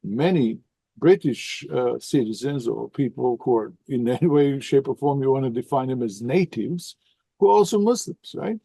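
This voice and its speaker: A man in an online seminar discussion, talking in an animated way with rhetorical questions and some groping for words. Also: medium pitch, normal speed, gravelly, slightly rough, no room sound. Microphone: video call.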